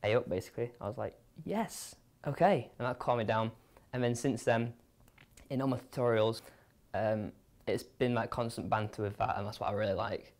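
A man's voice: medium pitch, moderate pace, a little mellow. A young man with a northern English accent, an engineering student chatting casually in an interview. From Sheffield, England. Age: young adult.

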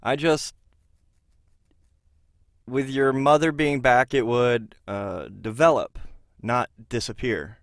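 A counselor in an audio drama played by a man, with a mid-pitched voice recorded very dry. He is patient and probing, halting as he picks his words. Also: creaky, no echo. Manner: halting, questioning.

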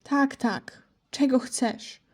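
A woman acts as a little male wolf in an audio drama. The voice is high yet soft-edged and takes its time. The delivery is curt and guarded, with a dismissive, snide streak. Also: very slow, exaggerated voice. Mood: curt, impatient. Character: small young male wolf, sweet-looking but snide, guarded. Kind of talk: Polish amateur audio drama acting.

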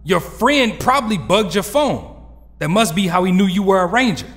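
A high, very animated young male hero played by a man, fiercely loyal and quick to confront. His delivery is emphatic audio-drama acting, with long reverb and faint music around it. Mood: angry. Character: hot-headed, loyal young male superhero fighting for his family.

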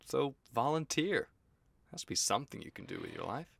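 A man's voice: medium pitch, big pitch swings, a little fast, creaky, a bit bright, breathy edge, dry recording, full character voice. An actor playing a reluctant, awkwardly apologetic man in an audio play, hesitant and evasive with an old friend. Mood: dry.

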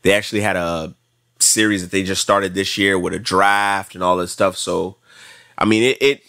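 A man's voice: sits low, fairly even intonation, normal speed, vocal fry, pushed and bright, clear, resonant tone. A man in his late twenties with an American accent, chatting casually as an interview guest, repeating himself for emphasis. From South Florida, United States. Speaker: podcast guest, track athlete.

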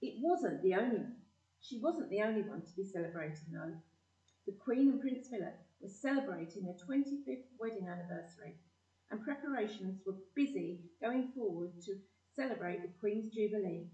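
A woman acts as a plain female storyteller in a community audio play. Her voice is warm-toned and middle in pitch. It carries from a distance in an echoey room. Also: lively intonation, normal speed, lo-fi sound, close to an everyday voice. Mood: matter-of-fact. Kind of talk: scripted narration.

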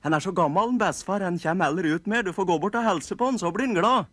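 A man voicing a crowd of excited little gnome children, shrill and clamoring. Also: no room sound. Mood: eager. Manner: shrieking, clamoring.

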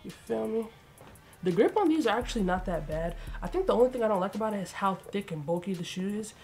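A woman's voice: mid-range voice, animated, quick pace, a little mellow, soft background music. A young woman talking casually through a sneaker unboxing, a bit congested, in a slangy, chatty way. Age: young adult. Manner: laid-back, chatty, slangy. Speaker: sneaker vlogger. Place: bedroom with shoe shelves, indoors.

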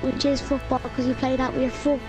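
Brief: young high-pitched gamer, teasing and bickering, game music under the voice. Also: female voice, flat delivery, fairly quick. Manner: teasing, bickering, laughs often. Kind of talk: casual banter while gaming. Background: game menu music.

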